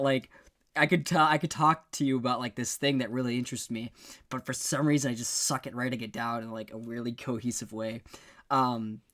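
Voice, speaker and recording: Male podcast member, medium pitch, conversational, rambling and modest about himself. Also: a bit bright. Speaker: podcast member and amateur voice actor. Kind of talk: casual podcast chat.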